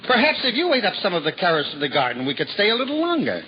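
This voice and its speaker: A man's voice: high-pitched, huge pitch swings, very fast. A man in a 1950s radio drama playing a simple, humble traveling juggler who talks to himself in wonder. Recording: lo-fi old radio broadcast, faint hiss.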